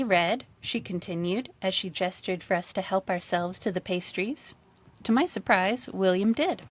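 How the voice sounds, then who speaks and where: Woman, author reading own fiction, expressive first-person narration, mid-pitched voice. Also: no room sound.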